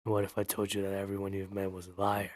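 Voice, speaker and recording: Man voicing an ominous low-voiced town alderman. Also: completely flat delivery, fast talker, natural voice. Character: grim male town alderman, ominous and secretive. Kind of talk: amateur voice-over of game dialogue.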